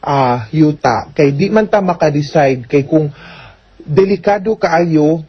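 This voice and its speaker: Cebuano lady lawyer on radio giving advice, slow n kinda high voice, dull lofi sound. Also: animated, husky, no echo. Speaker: host, attorney giving advice. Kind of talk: radio legal advice show talk. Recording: dull lo-fi audio.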